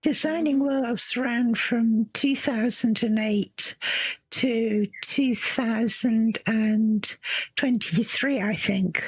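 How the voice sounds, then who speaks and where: Woman panelist, fairly high, slow and breathy, dry muffled voice-chat sound. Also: dry recording. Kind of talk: casual panel talk.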